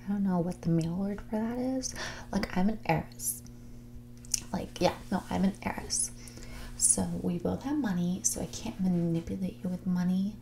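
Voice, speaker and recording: A woman playing a snobbish, manipulative heiress in her twenties in a whispered, soft-spoken ASMR roleplay, condescending and blunt with a drawl full of filler "like". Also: lowish voice, slight background noise, lightly acted. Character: snobbish, manipulative young rich heiress on a date.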